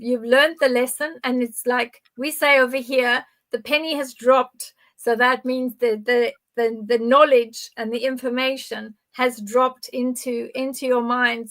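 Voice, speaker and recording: An older woman in her sixties or beyond, talking conversationally to live viewers as a spiritual guide, explaining things in an encouraging way. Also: fairly high pitch. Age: 60s or older. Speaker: podcast guide speaking on spiritual topics.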